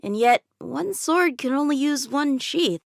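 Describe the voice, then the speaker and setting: A woman's voice: sits high, animated, a little slow, gravelly, no room sound, clean studio sound, heavily acted. A woman voicing an eager, confident teenage boy swordsman in a video game, with an earnest, cocky, youthful manner. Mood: enthusiastic. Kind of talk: video game character voice lines.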